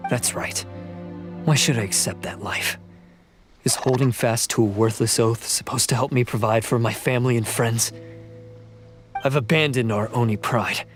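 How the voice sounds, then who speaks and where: A man's voice: medium pitch, moderate pace, pauses often, faint music behind, natural voice. A man voicing a bitter, defiant young oni outcast turned thief in a video game, scornful and intense. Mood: anguished, defiant.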